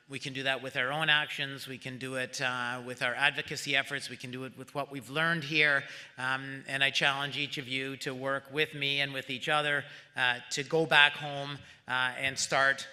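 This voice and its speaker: A man with a very bright, forceful voice delivers a closing speech to conference delegates. He speaks as the host, urging his listeners on, and often halts and hesitates between phrases. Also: medium pitch. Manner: hesitant, halting, rallying the audience. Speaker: summit host giving closing remarks.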